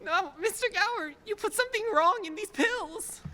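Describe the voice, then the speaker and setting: A woman's voice: very high voice, animated, normal speed. A woman playing a frightened, earnest, kind young boy in a stage radio play. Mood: frightened, pained. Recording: noisy reverberant hall stage recording.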